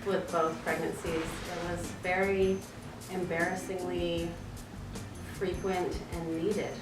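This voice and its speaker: A woman of nearly forty with a lowish voice, who talks candidly and laughs easily. She is heard from off the mic in an echoey room, with music under her. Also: little pitch movement, takes it slowly, distant mic. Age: almost forty. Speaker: panel guest, mother of two. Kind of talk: casual group chat.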